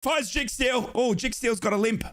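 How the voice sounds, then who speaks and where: A man hosting a live fan watchalong, calling a football match in excitable play-by-play and chanting. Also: very high voice, moderate pace. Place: home office.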